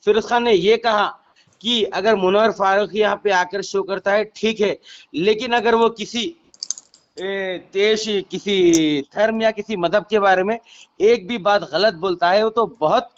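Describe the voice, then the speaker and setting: A man's voice: high voice, measured pace. A man with a Hyderabadi accent working as a reporter, asking probing questions on a recorded phone call. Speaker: reporter conducting an interview.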